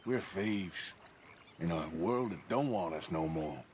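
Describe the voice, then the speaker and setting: Gruff western game outlaw: American, deep-leaning voice, unhurried, disillusioned, blunt, faint ambience. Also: male voice, animated, darkish tone, slight background noise. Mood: weary, doubtful. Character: gruff, disillusioned adult male outlaw gunslinger. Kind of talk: video game western acting.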